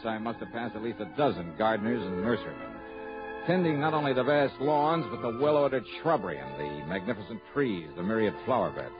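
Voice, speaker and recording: Wry American male investigator, brisk, clipped, vintage radio sound with music behind. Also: on the low side, muffled recording. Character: confident, wry male freelance insurance investigator. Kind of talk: mid-century American radio drama acting.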